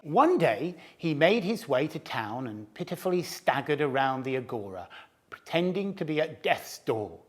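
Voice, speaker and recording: A man narrating a storybook tale expressively, dropping at times into hushed whispers. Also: on the high side, very animated, normal speed, slightly rough.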